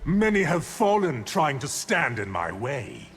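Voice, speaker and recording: A man voicing an arrogant, sinister underworld sorcerer in a video game, with a smug, taunting, deliberate delivery. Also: medium pitch, huge pitch swings, slight background noise. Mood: smug menace. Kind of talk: video game villain acting. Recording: game dialogue with music behind.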